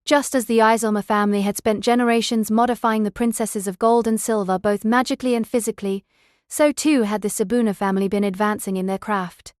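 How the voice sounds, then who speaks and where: Female audiobook narrator, mid-pitched, reading a fantasy novel in a dry, close recording. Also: fairly even intonation, brisk, a little mellow, no echo.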